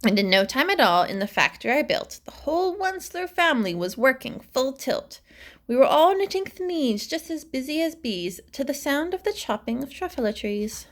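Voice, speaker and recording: Woman, children's rhyming story read aloud, high pitch, highly animated, expressive, emphatic phrasing. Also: moderate pace. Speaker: storybook reader.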